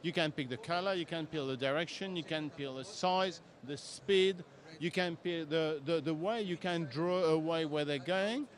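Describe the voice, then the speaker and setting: A man's voice: fairly high pitch, moderate pace. A man with non-native phrasing giving an emphatic product demo at a trade show stand. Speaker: software demonstrator.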